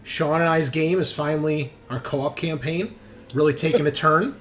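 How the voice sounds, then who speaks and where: Male game master, casual and bantering with salty language; clear background noise. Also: a little high, normal speed, a bit bright. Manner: profane, bantering. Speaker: game master and host of tabletop RPG show. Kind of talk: casual chat and session recap.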